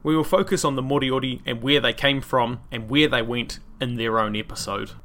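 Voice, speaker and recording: A man with a New Zealand accent narrates a scripted history podcast in a bright, forceful voice. He sits at a middle pitch for a man. Now and then he drops in dry, wry asides. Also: fairly even intonation, fairly quick. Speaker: podcast host.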